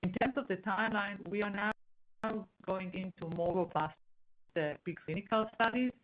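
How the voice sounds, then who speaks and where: A woman executive answering on an earnings call, explaining in a halting way as she searches for words. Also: mid-range voice, a little hiss or hum. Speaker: company executive. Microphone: conference call line. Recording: dull, lo-fi conference call line.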